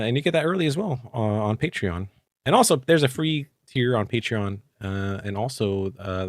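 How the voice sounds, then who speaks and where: A man co-hosting a tabletop gaming podcast, raspy and hoarse from illness, talking easily with many fillers. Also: on the low side, no echo, clean studio sound. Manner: easygoing, with frequent fillers. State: hoarse, still coughing after illness. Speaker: podcast co-host. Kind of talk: casual chat about tabletop game rules.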